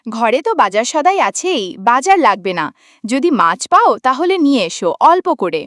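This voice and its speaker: A woman's voice: sits high, sing-song, moderate pace, fairly bright tone, dry recording, clean studio sound. A woman voicing an affectionate, chatty young village wife in a cartoon folk tale. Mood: caring. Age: young adult.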